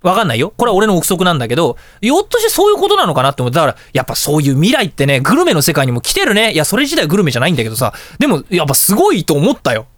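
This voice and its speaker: A man hosting a casual radio talk show, telling anecdotes in an animated way and acting out dialogue and reactions. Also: on the high side, very fast, hardly any pauses, dry recording, clean studio sound.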